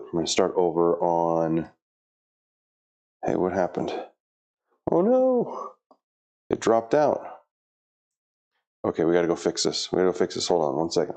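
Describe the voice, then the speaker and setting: A man's voice: low voice, a little mellow. A middle-aged man talking casually to the camera in a home gym, chatty and a bit flustered as he sets up his workout. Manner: chatty, self-talking, flustered by equipment trouble. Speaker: fitness vlogger.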